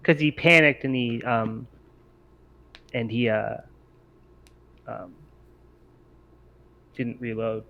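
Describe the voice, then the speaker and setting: A man's voice: medium pitch, takes it slowly, gravelly, long pauses. A man refereeing a tabletop game as game master, thinking aloud and accommodating as he improvises rulings. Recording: muffled mic with noticeable background noise.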